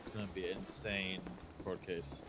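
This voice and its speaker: A man's voice: low voice, little pitch movement, moderate pace, over music, close to an everyday voice. A man playing a former manager ready to testify, blunt and stammering, and he swears. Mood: determined. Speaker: game character. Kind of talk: live roleplay dialogue. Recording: noisy game audio.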